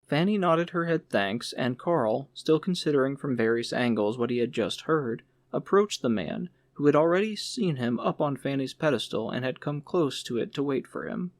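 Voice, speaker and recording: A man's voice: medium pitch, expressive, normal speed, few pauses, no room sound. A man reading literary fiction aloud as an audiobook narrator.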